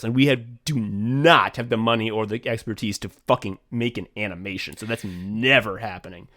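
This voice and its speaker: A young man co-hosting a podcast in casual chat, rambling and swearing freely, getting excited and laughing as he talks. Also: medium pitch. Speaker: podcast co-host and writer.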